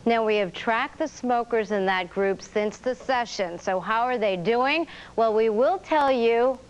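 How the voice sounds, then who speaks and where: Woman live news presenter, fairly high voice, brisk, a bit dull sound. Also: clean tone. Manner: brisk on-air delivery. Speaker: TV news reporter.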